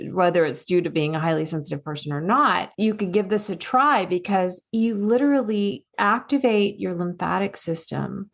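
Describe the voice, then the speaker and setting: A soft-spoken woman speaking as a guest coach in a casual podcast conversation, telling stories with little acted asides. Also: on the low side, gravelly.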